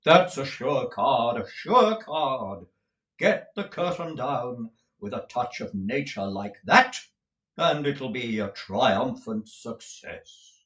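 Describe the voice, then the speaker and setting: A man voicing a pompous male tragedian actor who declaims in a deep, theatrical manner. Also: lively intonation, takes it slowly, a bit bright, dull audio. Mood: delighted. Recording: roomy echo.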